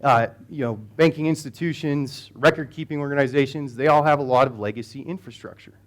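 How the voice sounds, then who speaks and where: A man's voice: mid-range voice, fairly quick. A man from the United States giving a conversational conference talk in a hall, with many fillers and restarts. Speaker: conference speaker.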